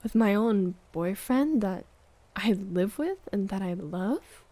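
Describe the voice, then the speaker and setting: A woman playing a hurt, needy, accusing girlfriend in an intimate audio roleplay, moving between sharp reproach and hushed murmurs. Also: mid-range voice, expressive, takes it slowly, no room sound. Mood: reproachful, pleading. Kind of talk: intimate audio roleplay monologue, dramatic acting.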